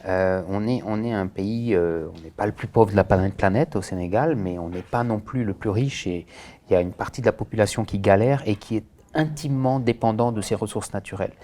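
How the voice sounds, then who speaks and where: A man's voice: lowish voice, dark tone. A man born in France and raised in Senegal, answering interview questions earnestly, with many hesitations and restarts. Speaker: director of a Senegalese association.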